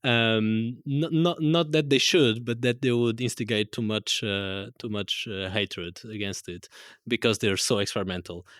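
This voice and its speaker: A man's voice: fairly low pitch, unhurried, hardly any pauses, a bit bright, no echo, studio quality. A man from Portugal with a Portuguese accent, chatting casually on stage at a live event, rambling and correcting himself as he goes. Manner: rambling, self-correcting, laughs often. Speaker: guest musician. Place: live event room with audience.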